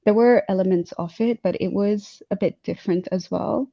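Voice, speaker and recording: A woman's voice: medium pitch, normal speed, dark tone. A young woman from Tanzania, talking in a casual interview over a video call, hesitant with many fillers and restarts. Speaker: guest speaker. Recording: dull lo-fi video call audio.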